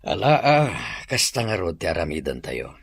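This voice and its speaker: A man's voice: low-pitched, very animated, moderate pace, creaky, slightly rough, dry recording, full character voice. A man playing a devout, reserved and agreeable husband in a drama, with an Ilocano accent. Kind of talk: acted dialogue in an Ilocano drama.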